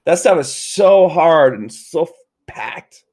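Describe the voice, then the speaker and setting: A man's voice: on the high side, animated, slow, a little mellow. A man in his forties co-hosting a podcast, chatting casually, rambling and correcting himself, laughing easily. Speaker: podcast co-host.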